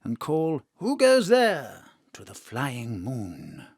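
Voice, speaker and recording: A man's voice: medium pitch, wildly swooping pitch, slow pace, creaky, no room sound, studio quality, full character voice. A man playing a genteel secret agent in an audio drama, with an understated, old-fashioned, poetic murmur. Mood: tender, wistful. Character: Peter, genteel male secret agent, dry and wistfully poetic.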